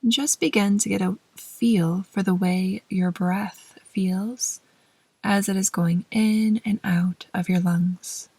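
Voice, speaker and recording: A woman gently leading a guided meditation in a soft, breathy voice that often trails toward a whisper, with measured pauses between short phrases. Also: medium pitch, fairly even intonation, no room sound. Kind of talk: slow, soothing guided meditation narration.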